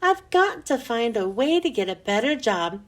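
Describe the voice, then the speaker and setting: Woman as a young working man, folksy, chummy, earnest, high-pitched, swinging intonation, storybook dialogue. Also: sing-song, normal speed. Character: friendly young man, night janitor, casual and earnest. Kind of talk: children's storybook read-aloud.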